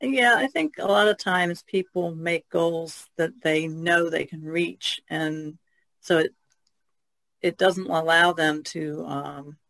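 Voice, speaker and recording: A woman's voice: sits low, slow, creaky, fairly bright tone. A woman co-hosting a podcast, speaking in a casual conversational way. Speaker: podcast co-host and painter.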